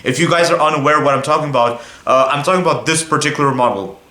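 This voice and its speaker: A man's voice: a little high, fast talker, pushed and bright, roomy sound. A young man from Belgium chatting casually to viewers on his car vlog, rambling a little and often saying "guys". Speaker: car vlogger.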